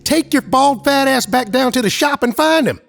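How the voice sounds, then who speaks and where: A man's voice: very high-pitched, lively intonation, normal speed, creaky, fairly bright tone, slight background noise, full character voice. A man playing a brusque, impatient, weary special agent in an audio drama, curt and skeptical. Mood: exasperated.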